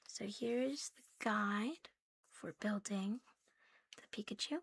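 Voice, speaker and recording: A woman hosting a soft ASMR show-and-tell in a playful, cutesy catgirl persona, breathy and often slipping into a whisper. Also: mid-range voice, dry recording. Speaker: ASMR host.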